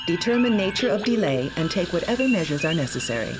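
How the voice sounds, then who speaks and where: Casting: an efficient, formal space fleet officer reading out orders in a game, lower register. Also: female voice, sing-song, fast. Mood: businesslike. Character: efficient female starship communications officer. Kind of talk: video game voice acting. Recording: game audio under music.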